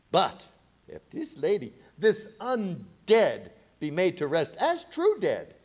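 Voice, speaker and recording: An actor playing a grave, commanding older foreign professor who hunts vampires in a radio horror drama, with solemn theatrical phrasing. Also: male voice, sits high. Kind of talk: 1930s-style radio horror drama acting.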